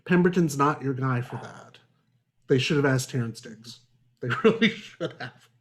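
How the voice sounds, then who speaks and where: This is a man with a middling pitch, hosting a casual book-club podcast. He is sardonic and exasperated, and he swears freely as he talks. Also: unhurried. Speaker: podcast host.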